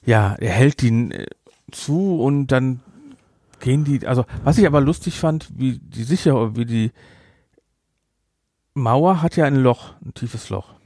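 A man in a casual podcast review chat, talking in a middle-pitched voice that stops and restarts, full of fillers. He jumps in quickly on his co-host. His voice is recorded close and dry, with no room sound. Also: a little mellow, no room sound.

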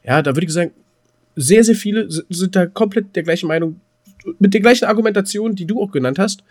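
Male podcast co-host, fairly high-pitched, colloquial and animated, teasing conversational delivery. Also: fast. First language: German. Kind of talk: casual chat.

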